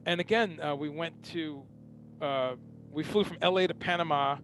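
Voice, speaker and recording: Host, 50s man from Guyana, slightly high voice, loose and rambling, street hum audible behind. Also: normal speed, fairly bright tone, low-quality recording. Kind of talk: casual talk-show intro monologue.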